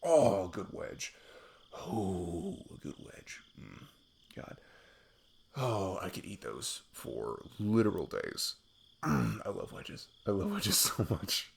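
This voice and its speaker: Low husky guy acting as your sweet goofy best friend on a date, rambly asmr roleplay, pitch wanders all over. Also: huge pitch swings, takes it slowly, a little hiss or hum, heavily acted. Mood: playful, casual. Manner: rambling, playful, self-deprecating chuckles. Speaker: audio roleplay performer. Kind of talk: intimate ASMR roleplay, improvised casual chat.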